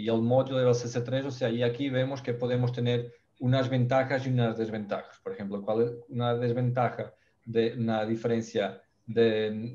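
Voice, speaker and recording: A man from Portugal with a Portuguese accent presents a technical topic in a second language. His voice is mellow and dark, and he stumbles, restarts and searches for words. The sound is dull and lo-fi, like call audio. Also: medium pitch. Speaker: ventilation specialist.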